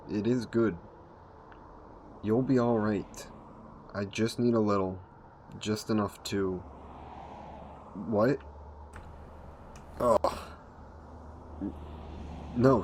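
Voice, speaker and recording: A man's voice: lowish voice, slight background noise. A man playing a courtly, seductive vampire in an intimate roleplay audio, speaking formally and coaxingly to the listener. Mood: startled, commanding. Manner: formal, gentlemanly, coaxing, unhurried. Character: courtly, seductive male vampire, hungry and predatory.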